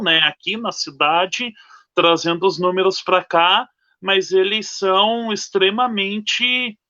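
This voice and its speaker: A man's voice: high-pitched, bright, forceful tone. A man on a discussion panel, explaining steadily and often ending with a tag question. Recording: dull lo-fi call audio.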